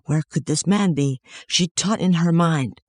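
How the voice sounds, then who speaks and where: A man's voice: on the high side, measured pace, dry recording, clean studio sound. A man narrating a scripted moral story as its storyteller.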